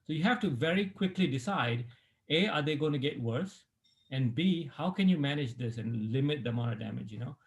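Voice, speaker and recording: A middle-aged man from Malaysia, a sports medicine doctor, recounting his life story as an interview guest in a relaxed way with many fillers and restarts. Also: mid-range voice, brisk.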